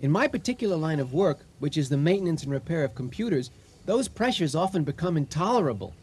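A kindly, earnest repairman in a radio comedy. He speaks politely in a fairly high, husky voice with animated intonation. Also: fast talker. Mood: earnest, reassuring. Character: earnest, kindly computer repairman, apologetic and reassuring. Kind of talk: comic radio drama acting.

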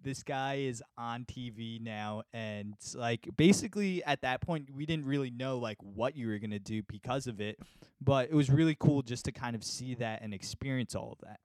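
A man's voice: medium pitch, moderate pace, darkish tone, no echo. Young man asking rambling casual questions. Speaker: interviewer.

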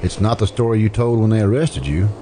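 An actor playing a blunt, skeptical interrogator in an old-time radio drama, pressing with sardonic questions. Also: male voice, on the low side, brisk, mellow, slight background noise, close to an everyday voice.

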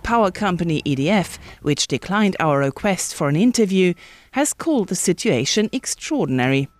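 A woman's voice: on the low side, big pitch swings, normal speed, no room sound. A woman working as a news reporter, narrating a scripted broadcast report.

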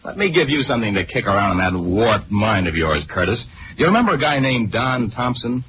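An actor playing a brash, cocky young newspaper reporter, combative and slangy, in a 1940s radio drama. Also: male voice, fairly low pitch, sing-song, brisk. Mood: taunting, angry. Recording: noisy, muffled 1940s radio recording.

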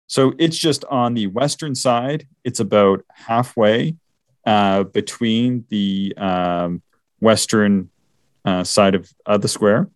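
A man with a very low voice talking casually about the night sky, wandering through his thoughts with lots of fillers and restarts. The recording is close and dry, with no room sound. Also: slow, no echo. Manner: rambling, hesitant, many fillers and restarts. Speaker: podcast co-host. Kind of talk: casual astronomy chat.

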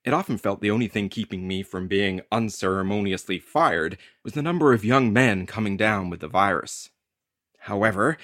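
A man's voice: lowish voice, a bit bright, no echo, clean studio sound. An actor playing a hapless, anxious delivery carrier who tells his creepy tale with wry, theatrical drama in an audio play. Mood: wry, weary. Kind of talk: dramatic first-person narration in an audio play.

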